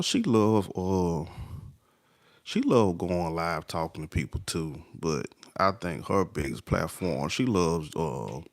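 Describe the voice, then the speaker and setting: Laid-back deep-voiced man chatting late at night. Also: no echo. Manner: laid-back, talks to viewers by name, trails off. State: stuffy nose. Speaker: livestream host. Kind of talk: casual late-night livestream chat.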